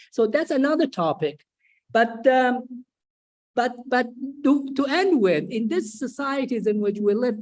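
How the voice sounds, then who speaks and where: A woman from Sweden giving spontaneous academic remarks, deliberate and emphatic, repeating phrases for stress. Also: fairly high pitch, darkish tone, poor audio. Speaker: symposium host, social scientist. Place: online video symposium.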